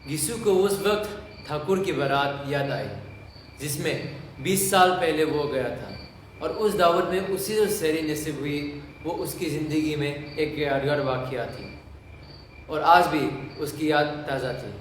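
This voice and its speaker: A man's voice: fairly high pitch, measured pace. A man giving scripted narration of a story for an audio play. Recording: very echoey room, faint noise.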